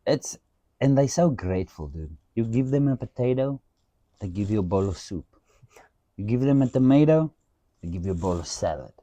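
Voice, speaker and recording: A man with a strong Afrikaans accent, speaking in a dark, mellow voice. He tells stories in an animated, unfiltered way, peppered with swearing and "dude". The sound is dry and close. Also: on the low side, normal speed, dry recording. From South Africa. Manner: animated, swearing storyteller who says "dude" a lot. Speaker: podcast host. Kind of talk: casual chat.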